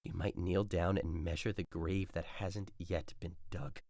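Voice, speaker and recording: A man's voice: deep voice, flat delivery, measured pace, heavy background noise. A man playing a lovesick, despairing young man in a modern-language Shakespeare audio drama, melodramatic and anguished.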